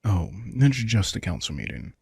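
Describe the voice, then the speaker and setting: A man playing a protective, wry and tender vampire king in an intimate audio roleplay, soft-spoken and casual. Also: low voice, wildly swooping pitch, moderate pace, vocal fry, slightly rough, no echo, clean studio sound, full character voice. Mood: caring, casual. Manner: soft-spoken, casual, reassuring, occasionally swearing. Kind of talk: intimate audio roleplay, one-sided dialogue.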